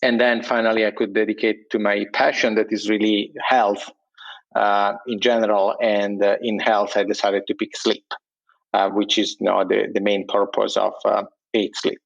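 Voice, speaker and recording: A man's voice: fairly low pitch, level tone, moderate pace, gravelly, dull audio. A man with an Italian accent, an entrepreneur guest in a casual remote interview, talking hesitantly with many fillers and restarts. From Italy.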